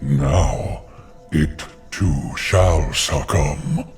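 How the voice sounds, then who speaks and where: A man playing an ancient tree spirit guardian in a video game, solemn and grim, speaking gravely and portentously. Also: a bass voice, drawn-out words, faint music behind, exaggerated voice. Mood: mournful. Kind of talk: video game character acting.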